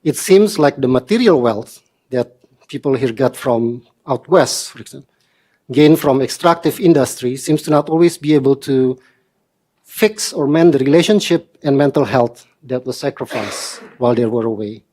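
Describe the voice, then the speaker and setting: A man from Indonesia in his forties gives a prepared statement at a council meeting. He speaks earnestly and with measured pacing, in a mid-pitched voice with slightly formal phrasing. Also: warm tone.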